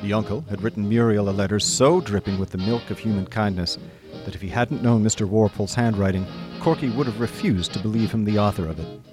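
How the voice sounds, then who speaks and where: An actor playing an idle, chummy young upper-class gentleman, narrating a radio drama in breezy, wordy old-fashioned slang. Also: lowish voice, brisk, close to an everyday voice. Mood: chatty. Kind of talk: scripted first-person radio drama narration. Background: music.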